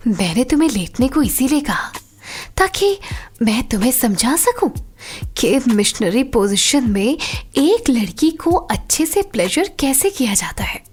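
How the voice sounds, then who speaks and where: A woman's voice: sits high, big pitch swings, full character voice. A woman playing a seductive, patient wife who teaches in a coaxing way, in a Hindi audio drama. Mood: seductive, instructive. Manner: slow, breathy, coaxing delivery. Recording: music behind the voice.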